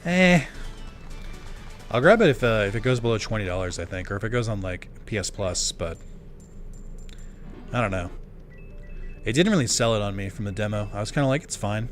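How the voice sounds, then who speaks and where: A man's voice: fairly low pitch, lively intonation, fairly quick, long pauses, faint music behind. Chatty male streamer losing his voice. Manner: rambling, chatty, with many fillers and asides. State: voice going hoarse. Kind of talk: casual live-stream chat while gaming.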